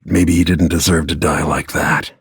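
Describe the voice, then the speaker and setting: A grim middle-aged assassin dude from a video game, deep gravelly husky voice, super dry close sound, terse and broody. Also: male voice, little pitch movement, moderate pace, no room sound, heavily acted. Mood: grim, resolute. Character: grim, battle-worn royal protector and assassin, protective father. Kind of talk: scripted video game character lines.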